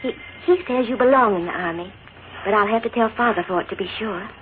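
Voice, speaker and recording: A female actor plays a sincere young woman in an old radio western. Her delivery is gentle and earnest with dramatic pauses, at an average pitch. The recording is a tinny 1940s broadcast with faint noise. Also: lightly acted. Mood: warm, reassuring.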